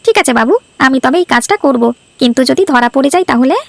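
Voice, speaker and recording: A woman plays a meek, down-on-luck villager in a cartoon tale. The voice is high and creaky, quick and deferential, recorded dry with music behind. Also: lively intonation, no echo, exaggerated voice. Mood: hesitant, submissive. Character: poor, submissive, hesitant jobless villager. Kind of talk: Bengali cartoon fairy-tale dialogue.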